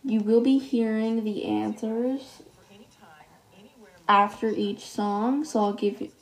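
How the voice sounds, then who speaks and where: A woman's voice: on the high side, fairly even intonation, slow pace. A teenage girl hosting a casual solo podcast, reading texts aloud in a chatty way while losing her voice. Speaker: podcast host.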